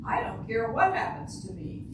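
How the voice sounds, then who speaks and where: Very echoey, distant noisy recording, older woman playing naive housewife, higher-pitched, blunt, literal-minded, stage reading. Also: sing-song, normal speed. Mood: stubborn, artless. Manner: literal-minded, plain, ungrammatical phrasing. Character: naive, blunt housewife and mother, matter-of-fact about shooting husband. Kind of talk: amateur readers' theater.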